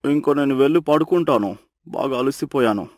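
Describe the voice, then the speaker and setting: A man's voice: mid-range voice, normal speed, darkish tone, lightly acted. A man playing a grumbling, easily irritated husband in a cartoon story, blunt and complaining. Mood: tired.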